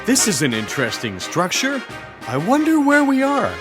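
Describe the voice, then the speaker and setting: Actor as a grumbling, animated alien builder, high-voiced. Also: male voice, normal speed, over loud music. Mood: musing, inspired. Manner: grumbling, self-absorbed. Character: listless, burned-out alien master builder, male, scheming dreamer. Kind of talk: comic sci-fi audio theatre acting. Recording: clear background noise and ambience.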